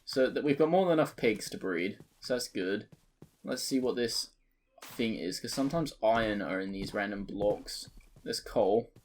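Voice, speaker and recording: A man in his twenties giving rambling, joking commentary over a video game, his voice cracking and jumping between high and deep. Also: animated, normal speed, a little hiss or hum. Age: 20s. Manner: rambling, joking, self-mocking. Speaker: let's play creator. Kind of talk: casual gameplay commentary.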